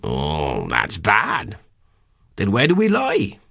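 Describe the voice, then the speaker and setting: A man's voice: on the low side, huge pitch swings, slow pace, creaky, sharp tone, dry recording, studio quality, full character voice. An actor voicing a middle-aged, naive and self-important country man with a West Country English accent and a wondering, wheedling manner, in a literary audiobook. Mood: eager, wheedling. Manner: rustic dialect, wondering and wheedling.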